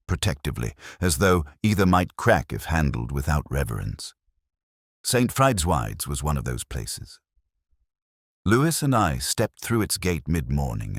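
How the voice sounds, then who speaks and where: Guy doing a brooding old police inspector telling his case, super deep voice, dry close sound, measured literary delivery. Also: dry recording, heavily acted. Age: older. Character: ageing, melancholy, observant male police inspector. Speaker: narrator. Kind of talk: first-person scripted detective story narration.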